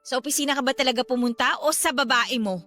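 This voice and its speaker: Woman acting weary wife in pinoy radio drama, high clear-cut bright voice, expressive. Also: fast talker, soft background music. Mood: suspicious, tired. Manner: polite, expressive. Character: weary, patient wife and mother of a sick child.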